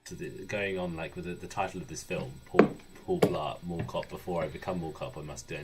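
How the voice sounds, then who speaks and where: A man's voice: low-pitched, fairly even intonation, brisk, noisy background. A man co-hosting a podcast, chatting casually and adding dry, wry asides. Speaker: podcast co-host. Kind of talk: casual chat.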